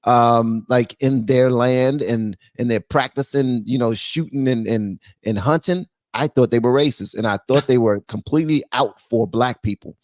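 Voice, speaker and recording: A man's voice: mid-range voice, moderate pace, hardly any pauses, a little mellow, no room sound. Casual man hosting a live stream, dry sound. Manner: informal, calls guests brother, man, babe. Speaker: host of a live call-in stream.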